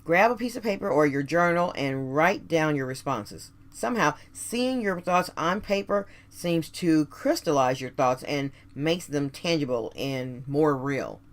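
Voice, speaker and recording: Expressive woman giving a motivational monologue. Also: deep voice. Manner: deliberate, emphatic phrasing with rhetorical questions. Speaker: radio show host. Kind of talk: scripted motivational monologue addressed to listeners.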